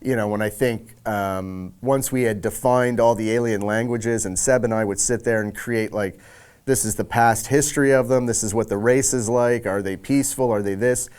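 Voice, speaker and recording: A man's voice: medium pitch, steady tone, normal speed, a little mellow, clear, resonant tone. A man speaking casually in a making-of interview as an audio director, acting out bits of conversation as he goes.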